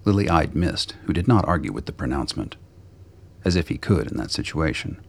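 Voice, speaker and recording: Radio drama narrator: man, bottomless bass, husky, delivering scripted prose. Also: sing-song, fairly quick. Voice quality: very deep, gravelly.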